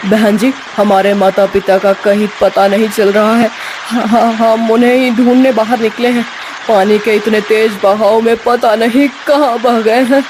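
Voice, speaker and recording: A woman's voice: a little high, level tone, measured pace, background noise, lightly acted. A woman voicing an earnest, worried young villager. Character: earnest, helpful young villager caught in a flood. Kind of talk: animated Hindi story dialogue.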